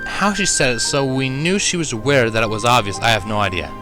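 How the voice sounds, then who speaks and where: A man reading a first-person story aloud as an expressive narrator. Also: mid-range voice, brisk, music in the background. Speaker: fan fiction reader, narrator.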